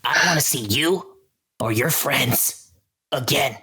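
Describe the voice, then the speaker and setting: A woman voicing an aggrieved, fed-up inventor in a tabletop game, husky, with a halting, scolding delivery. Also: extremely low pitch, bright, forceful tone, exaggerated voice. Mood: bitter, wounded.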